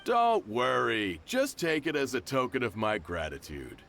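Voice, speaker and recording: Jovial kindly shopkeeper. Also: male voice, mid-range voice, big pitch swings, measured pace, vocal fry, very noisy. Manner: jovial, generous, chuckling. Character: kindly middle-aged male shopkeeper and father. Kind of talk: English game dub. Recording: game audio with music behind.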